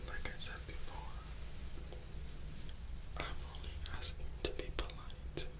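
A young man playing a charismatic, coolly menacing male vampire in a hushed, intimate, coaxing ASMR roleplay. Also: unhurried, roomy sound, close to an everyday voice, rough recording. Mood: soothing, menacing. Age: young adult. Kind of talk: ASMR roleplay, whispered and soft-spoken.

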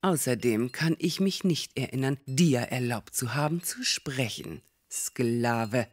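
A man's voice: medium pitch, expressive, takes it slowly. A man playing a domineering, haughty old female fortune-teller in a German comedy audio drama, lecturing imperiously. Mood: stern, commanding.

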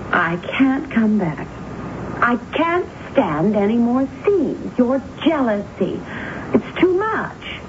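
Radio drama for a weary, emotional woman; swooping voice in drawn-out broken phrases, muffled recording. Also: a little high, gravelly, background noise, full character voice. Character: distraught, weary woman pleading with jealous husband.

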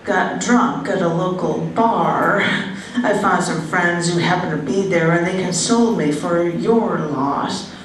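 A middle-aged woman giving an expressive, conversational reading of a personal narrative poem at an open mic. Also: on the low side, a little slow. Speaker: poet. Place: indoor room at a poetry open mic. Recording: echoey, noisy room, distant-sounding live recording.

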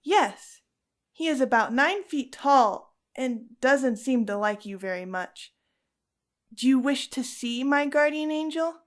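A woman's voice: high voice, animated, takes it slowly. An actress playing a devout, resolute young Roman noblewoman in an audio drama, speaking earnestly and firmly. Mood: solemn, firm. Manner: earnest, firm.

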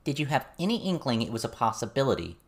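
A man reads as a curious, wry girl in a fantasy audiobook. Her voice sits well above his natural one, and she questions people directly and deliberately. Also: mid-range voice, very animated, voice pushed up. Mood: probing. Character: inquisitive, direct young girl, probing and wry.

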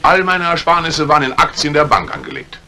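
Casting: a sardonic, pointed accuser, forceful and very lively, faintly noisy 1950s thriller. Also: male voice, fairly high pitch, fairly quick, full character voice. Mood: sarcastic, accusing. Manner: pointed, sarcastic. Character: sardonic, suspicious man who lost his savings. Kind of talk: 1950s German dubbed thriller acting. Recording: faintly noisy vintage soundtrack.

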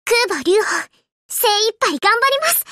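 An actress voicing a shy, earnest young anime warship girl in a game, polite and gentle with a hesitant, stammering manner. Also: very high voice, lively intonation, measured pace, no echo, studio quality, full character voice. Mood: polite, eager.

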